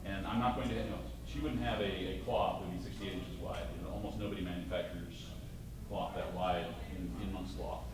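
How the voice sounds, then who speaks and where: A man presenting a live costume-making demonstration at a convention panel, talking in a halting way with many restarts, his voice slightly hoarse. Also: sits low, steady tone, background noise. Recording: echoey room, mic far off.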